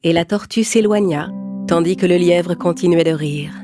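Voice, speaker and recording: A woman's voice: fairly low pitch, normal speed. A woman narrating a scripted fable for children as a storyteller. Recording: clear music bed behind narration.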